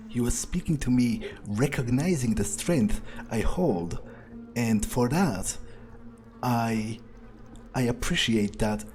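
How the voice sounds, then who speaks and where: Slow, mellow man playing a proud vampire. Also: mid-range voice, slight background noise. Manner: musing, halting, profane. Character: proud, ambitious male vampire, restless and power-hungry. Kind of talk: improvised in-character gameplay monologue.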